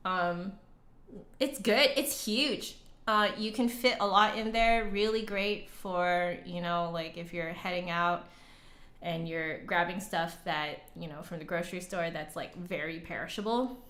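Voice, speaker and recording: Slow-paced woman chatting in an echoey room. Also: lowish voice, steady tone, fairly bright tone. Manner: rambling, hesitant, halting, thinking aloud. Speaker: livestream host, flute musician and teacher. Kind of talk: casual livestream chat, reading and answering comments. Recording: roomy, echoey indoor sound.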